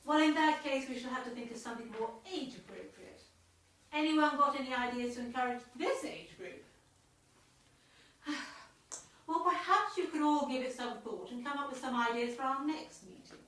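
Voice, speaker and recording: A woman playing a brisk, officious club chairwoman in an amateur radio comedy, with a clipped, schoolmistressy manner. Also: sits high, fairly bright tone. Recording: very echoey room, distant mic.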